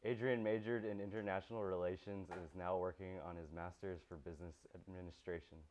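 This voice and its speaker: A man's voice: low voice, steady tone, brisk, a little mellow, slight background noise. A young man presenting from a small TV studio, delivering scripted lines in an earnest, rehearsed way. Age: young adult. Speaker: student presenter.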